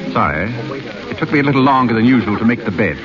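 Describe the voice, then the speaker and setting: An actor voicing a polite, reserved butler in a 1930s radio drama, formal and courteous. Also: male voice, mid-range voice, expressive, fast talker, lo-fi sound, over music, natural voice. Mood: composed. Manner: formal, courteous, hesitant when cornered. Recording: scratchy 1930s radio broadcast.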